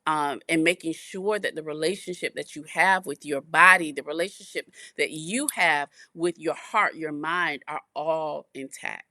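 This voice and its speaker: A woman's voice: low-pitched, measured pace, few pauses, sharp tone, no room sound, clean studio sound. A woman co-hosting a podcast, talking in a reflective, casual way and often repeating words as she thinks. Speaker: podcast co-host. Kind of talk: casual chat.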